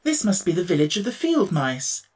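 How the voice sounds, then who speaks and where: A man's voice: sits high, big pitch swings, normal speed, voice pushed up. A man voicing a kind-hearted, courtly male tin man who speaks with polite firmness. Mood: courteous. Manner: courteous, gently firm.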